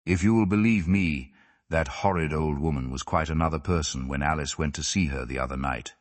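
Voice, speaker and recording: A man's voice: very deep voice, fairly quick, dry recording. A man reading classic fiction aloud as scripted audiobook narration. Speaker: audiobook reader.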